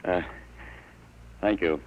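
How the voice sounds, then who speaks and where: A man's voice: sits low, fairly even intonation, slow pace, phone-quality sound, background noise. An actor playing an affable, easily flustered middle-aged father in an old-time radio drama, polite and a little hesitant. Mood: uneasy, polite.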